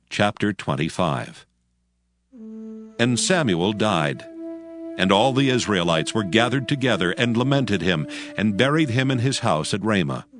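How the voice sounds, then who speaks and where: A man narrating dramatized scripture as a solemn storyteller. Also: on the low side.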